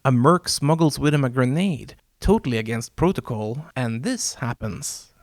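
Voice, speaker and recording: A man's voice: medium pitch, expressive, a little mellow, no echo. Dry-voiced Norwegian man narrating. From Norway. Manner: measured, wry, with dramatic pauses. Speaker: video essay host. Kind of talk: scripted narration.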